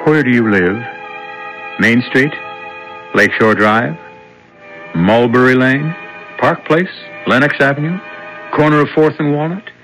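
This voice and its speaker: A man narrates as host of a seventies radio mystery series. His mid-range voice is theatrical, rhetorical and teasing, with soft music behind it. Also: medium pitch, a little slow, long pauses, rough recording.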